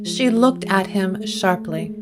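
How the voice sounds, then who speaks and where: A woman narrating a scripted gothic story with deliberate, dramatic pauses. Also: medium pitch. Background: plucked guitar music.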